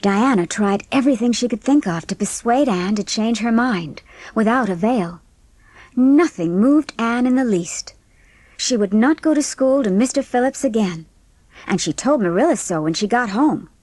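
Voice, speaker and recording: A woman's voice: a little high, expressive, moderate pace, darkish tone, dry recording, low-quality recording. A woman reading scripted audiobook narration of a story as its narrator.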